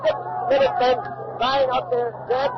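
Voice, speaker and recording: 1940s radio battlefield reporter, very high urgent voice, dull old recording buried in loud crowd noise. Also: male voice, level tone. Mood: alarmed, urgent. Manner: urgent, halting eyewitness reporting. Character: urgent male radio war reporter, breathless eyewitness at a battle. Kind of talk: 1940s radio acting, live on-the-spot news commentary.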